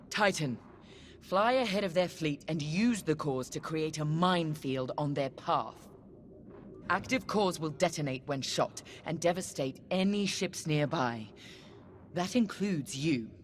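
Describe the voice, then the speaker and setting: An actress playing a cold, vengeful female military commander in a video game, speaking in a measured, menacing, formal way. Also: deep voice, slight background noise. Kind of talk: dramatic video game mission briefing. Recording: loud music behind.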